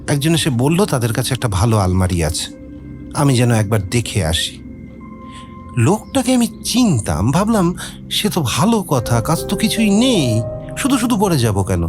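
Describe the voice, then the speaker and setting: An actor playing a nervous, talkative gentleman in an audio drama, hesitant and rambling. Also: mid-range voice, lightly acted. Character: nervous, talkative middle-class Bengali gentleman with a mystery.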